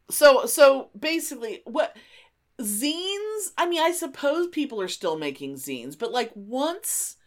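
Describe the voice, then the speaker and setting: Middle-aged female podcast co-host, highly varied intonation, informal and animated, loose conversational delivery with swearing. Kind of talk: casual unscripted chat.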